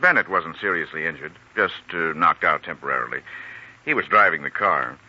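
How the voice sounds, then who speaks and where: A man's voice: extremely low pitch, lively intonation, a little fast, bright, forceful tone, slightly rough, exaggerated voice. An actor playing a kindly, world-weary older senior doctor in a 1940s radio drama, musing and hesitant in a fatherly way. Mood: casual, matter-of-fact. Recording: dull old radio recording.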